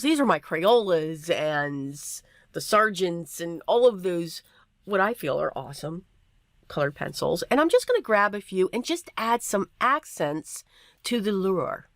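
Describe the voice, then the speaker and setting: A woman with a voice worn hoarse by allergies, clearing her throat now and then as she chats casually through a card-making tutorial voiceover, with plenty of fillers and self-deprecating asides. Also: fairly low pitch, a bit bright, no echo, clean studio sound.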